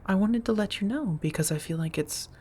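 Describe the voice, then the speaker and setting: A woman playing a gentle, guarded, centuries-old vampire roommate in a soft-spoken ASMR roleplay, with measured, formal phrasing and endearments. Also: mid-range voice, slight background noise, close to an everyday voice. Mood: reassuring. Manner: measured, formal wording with endearments, hesitant confessions.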